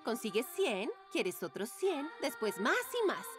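A woman's voice: a little high, big pitch swings, a little slow, over music. A woman playing a vain, dramatic young model and internet diva in a cartoon, whiny and self-absorbed. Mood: reflective, smug. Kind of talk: exaggerated cartoon dubbing.

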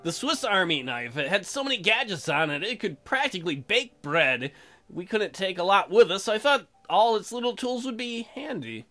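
A man's voice: high voice, big pitch swings, normal speed, sharp tone, soft background music. A man hosting a let's play, reading game text aloud and adding joking, irreverent commentary.

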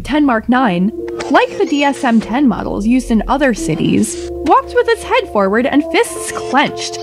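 A woman narrating an audio drama in a wry, wordy, mock-technical way with precise delivery. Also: fairly high pitch. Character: wry female narrator describing an android in technical detail. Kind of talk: scripted narration.

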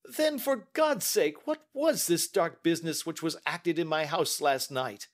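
A man reading aloud and voicing a distraught middle-aged male banker who speaks slowly, pleading in anguish. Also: sits high, big pitch swings, pitched above the performer's own voice, heavily acted.

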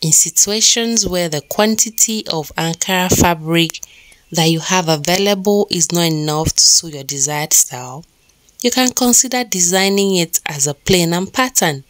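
A woman narrating a scripted fashion explainer in a measured, explanatory manner. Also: lowish voice, a bit bright, no room sound.

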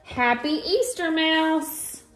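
High-pitched American woman reading to kids. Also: drawn-out words, a bit bright. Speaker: read-aloud host. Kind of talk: picture-book read-aloud with casual chat for children. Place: small room at home. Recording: roomy echo, faint background noise.

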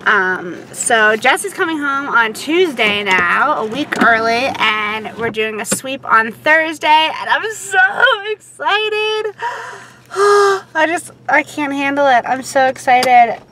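A young, heavily pregnant woman talks into a phone held at arm's length inside her car. She rushes through her news in an excited, chatty way. Her voice sits somewhat high. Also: slight background noise, low-quality recording. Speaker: vlogger. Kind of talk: casual vlog talk to camera. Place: inside a parked or moving car.

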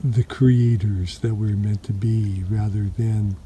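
A man sharing a spiritual reflection outdoors by a shoreline, talking softly and haltingly with many pauses and fillers. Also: lowish voice, steady tone, a little hiss or hum. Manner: slow, soft-spoken, halting with many fillers. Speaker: meditation teacher. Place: lakeshore outdoors.

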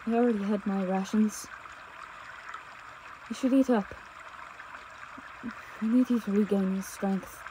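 A woman playing a devoted, anxious young pastor's daughter on the run in an intimate audio drama, speaking tenderly and emotively with halting pauses. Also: medium pitch, warm tone, slight background noise, lightly acted, rough recording. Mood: subdued, wistful. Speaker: audio roleplay performer. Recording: nature ambience with trickling stream water. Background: outdoor ambience sound effects, running water.

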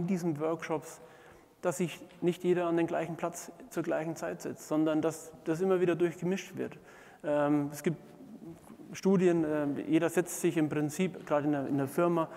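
Man, middle-aged, slightly high-pitched, gravelly creak, halting keynote delivery with pauses. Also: mellow. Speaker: keynote speaker, management consultant. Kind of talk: conference keynote presentation. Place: large indoor conference hall, on stage before seated audience. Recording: reverberant conference hall.

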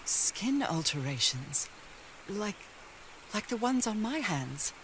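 A woman's voice: mid-range voice, very animated, takes it slowly, a bit bright, a little hiss or hum, heavily acted, poor audio. An actress playing a curious, refined 1930s woman explorer in a video game, musing to herself in a genteel, articulate way. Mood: uneasy, pondering. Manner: genteel, articulate, wonderstruck exclamations.

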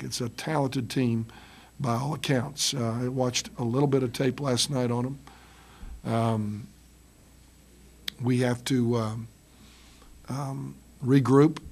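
A middle-aged man, a basketball head coach, answering reporters after a loss, halting with many ums and restarts, speaking into a table microphone. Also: mid-range voice, darkish tone, slightly rough. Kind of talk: post-game press conference. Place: press room with curtain backdrop. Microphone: table mic on stand.

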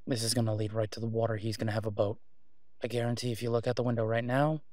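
A man's voice: on the low side, level tone, fast, darkish tone, dry recording, clean studio sound, natural voice. A man playing a sardonic, exasperated but protective vigilante antihero in an audio roleplay, with a weary, clipped manner. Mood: weary, muttering. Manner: sarcastic, weary, clipped, emphatic when irritated. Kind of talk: solo audio roleplay acting, conversational.

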